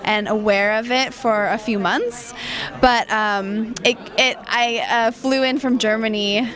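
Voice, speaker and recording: A woman's voice: fairly high pitch, fairly even intonation, a little slow, fairly bright tone. A woman from Southern California gives an earnest, rambling testimonial with many restarts.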